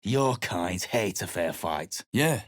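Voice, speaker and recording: An actor playing a surly, battle-worn mercenary adventurer in a video game, speaking in a gruff, blunt, dismissive way. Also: male voice, low voice, animated, takes it slowly, no echo, studio quality.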